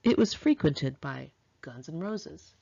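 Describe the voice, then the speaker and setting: Animated, lower-voiced American woman narrating. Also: slow, gravelly, no room sound, muffled recording. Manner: expressive, confiding, girlish slang. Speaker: author reading own memoir. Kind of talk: first-person audiobook narration, conversational and dramatic.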